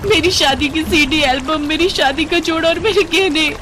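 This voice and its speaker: A woman's voice: a little high, a little fast, background noise, voice pushed up, natural voice. A woman voicing a naive, dreamy and dramatic young daughter-in-law in a cartoon. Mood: upset, dramatic.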